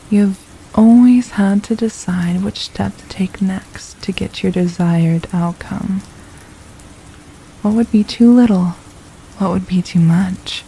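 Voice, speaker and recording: A woman playing a possessive, condescending royal villain in an ASMR roleplay, speaking in a soft, silky, deliberate murmur with menace and mockery. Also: mid-range voice, gravelly, slight background noise, rough recording. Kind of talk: ASMR roleplay, intimate scripted monologue.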